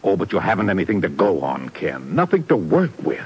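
An actor playing a gruff, worried intelligence bureau chief in a 1950s radio drama, with an urgent, emphatic delivery. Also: male voice, deep voice, huge pitch swings, a little fast, full character voice. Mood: doubtful.

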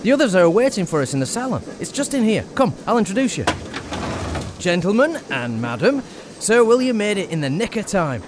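An actor playing an earnest, courteous captain in a radio drama, polite and slightly flustered. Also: male voice, sits high, sing-song, brisk, noisy background. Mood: eager, courteous. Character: earnest, eager English captain, courteous host's aide.

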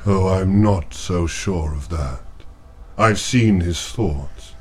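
A man's voice: husky, exaggerated voice. An actor playing a cold, commanding male demon priest in a horror radio play, taunting and menacing. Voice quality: deep, slow and menacing. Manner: taunting, deliberate.

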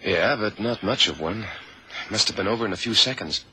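An actor playing an easygoing, wisecracking agent sidekick in a radio drama, casual and wry. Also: male voice, lowish voice, animated, brisk, bright, forceful tone. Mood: matter-of-fact. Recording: noisy old radio recording.

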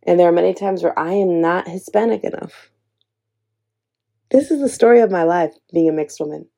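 A young woman with a low, gravelly edge to her voice, speaking in an earnest, encouraging way. Her tone is mellow and relaxed, with a slightly lower pitch than most women. She talks personally and directly to the listener, like a host sharing her own story. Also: moderate pace. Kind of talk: heartfelt personal talk to camera.

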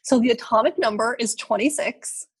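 Young woman with a very breathy voice. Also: fairly high pitch, moderate pace. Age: 20s. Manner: laughing, swearing, reading facts aloud. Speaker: podcast co-host. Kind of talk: casual banter podcast.

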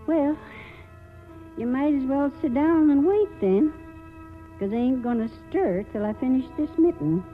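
Through a tinny old radio broadcast with music behind comes a stubborn old American country wife. She speaks slowly and plainly, in the homely manner of 1940s radio drama. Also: sits high, lively intonation. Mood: stubborn, fond.

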